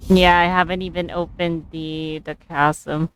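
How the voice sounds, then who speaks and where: A woman livestreaming a video game, thinking aloud casually in short, halting phrases. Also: lowish voice, little pitch movement, a little hiss or hum, rough recording. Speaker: game streamer. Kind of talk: casual livestream gameplay commentary.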